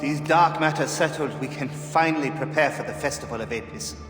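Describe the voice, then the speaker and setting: Game casting male actor: Egyptian dignitary, formal, lighter pitch, reverberant, scored with music. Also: brisk, close to an everyday voice. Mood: grave relief. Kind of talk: dramatic video game cutscene acting. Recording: echoing hall with music behind.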